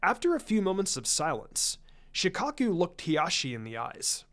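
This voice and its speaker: A man's voice: high-pitched, huge pitch swings, normal speed, a bit bright. A man narrating a scripted story as a reader of fan fiction. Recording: music under the voice. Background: background music.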